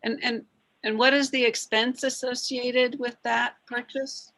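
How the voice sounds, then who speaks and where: Bright-voiced board member asking questions. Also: female voice, on the high side, flat delivery, measured pace. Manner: questioning, polite, hesitant. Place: school board meeting.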